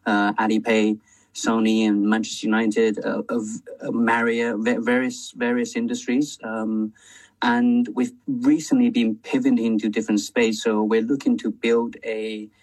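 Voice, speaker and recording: A man's voice: lowish voice, level tone, a little slow, recorded from a distance, rough recording. A man from China moderating a panel and introducing himself.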